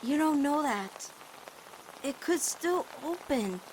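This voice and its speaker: A woman's voice: sits high, lively intonation, takes it slowly, darkish tone, slight background noise, low-quality recording. A woman voicing a guilt-ridden fourteen-year-old girl in an emotional cartoon fan dub, blaming herself with dramatic intensity. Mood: dejected guilt. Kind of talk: animated-series fan dub drama acting.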